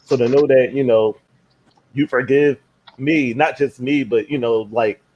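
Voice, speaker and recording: A man's voice: mid-range voice, measured pace, lo-fi sound. Playful man hosting a live stream. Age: 30s. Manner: relaxed and playful, laughs easily. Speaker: host. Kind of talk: casual live-stream talk.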